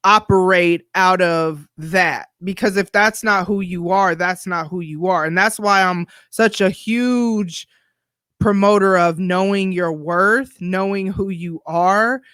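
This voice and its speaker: A woman's voice: lowish voice, a bit bright, smooth, no echo, clean studio sound. A woman in her forties hosting her own podcast, talking candidly in a chatty, blunt way. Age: 40s. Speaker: podcast host. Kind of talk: solo podcast monologue.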